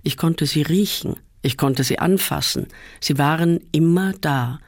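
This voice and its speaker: A man reading a first-person literary essay aloud in a measured, calm way, often slowing down. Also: fairly high pitch, no room sound, clean studio sound. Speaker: reader.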